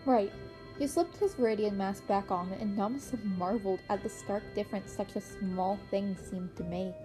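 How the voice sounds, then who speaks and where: A woman's voice: medium pitch, normal speed. A woman reading scripted story narration as an amateur audiobook reader, with music playing underneath.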